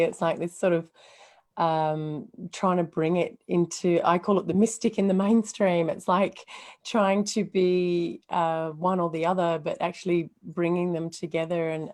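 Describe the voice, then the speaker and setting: A woman from Western Australia, a guest on a live video call from home, soft-spoken and thoughtful, pausing to find her words. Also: on the low side. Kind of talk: casual spiritual chat on a live video call.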